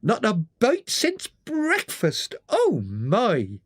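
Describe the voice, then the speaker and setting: A reader voicing a cheery, excitable male mole in a storybook. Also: medium pitch, drawn-out words, slightly rough, no room sound, studio quality, pitched above the performer's own voice, exaggerated voice. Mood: delighted. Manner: exclamatory, eager storytelling.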